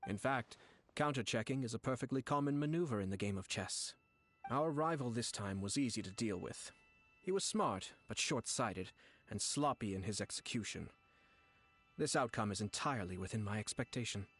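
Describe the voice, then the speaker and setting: An actor playing a shrewd, composed young clan lord in a video game, speaking in formal, measured, courtly phrases. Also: male voice, mid-range voice, lively intonation, fairly bright tone, husky. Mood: cool, calculating. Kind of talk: scripted video game dialogue.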